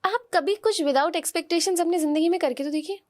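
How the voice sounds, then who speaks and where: A high-voiced woman talks as a card reader on a podcast. She gives spiritual guidance in an instructive, conversational way, addressing listeners directly and checking in with small rhetorical questions. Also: moderate pace, a little mellow. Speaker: oracle card reader, podcast guest giving horoscope guidance.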